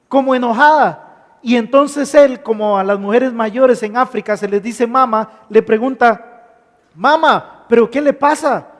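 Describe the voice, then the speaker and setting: Male preacher, very high voice, emphatic repetition, long church reverb. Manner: emphatic, repeats phrases in lists. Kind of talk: sermon. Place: church hall.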